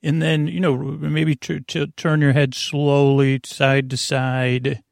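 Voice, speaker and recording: A man hosting a bedtime podcast in a creaky voice, meandering and halting through soothing, rambling tangents. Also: a little high, little pitch movement, dry recording, clean studio sound. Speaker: podcast host.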